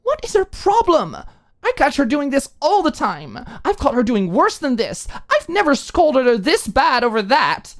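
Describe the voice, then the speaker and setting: A woman's voice: sits high, wildly swooping pitch, normal speed, voice pushed up. A woman voicing an indignant young female student, huffy and exasperated, in an audiobook drama. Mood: angry, hurt. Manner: huffy, exasperated, rhetorical questions. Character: Maud, indignant young woman student ranting at her roommate.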